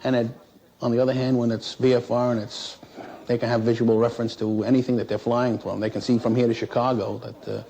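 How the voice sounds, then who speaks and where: A man who works as an air traffic controller recounts his experience in an interview in a halting, plain-spoken, matter-of-fact way. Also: mid-range voice, flat delivery, vocal fry, mellow. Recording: noisy 1960s interview recording.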